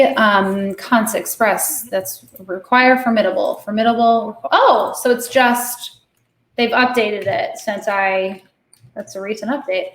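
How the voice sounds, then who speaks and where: A slightly hoarse woman hosts a coding stream and thinks aloud as she works, with many fillers. She talks slowly in a fairly high voice. The room sounds echoey. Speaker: host.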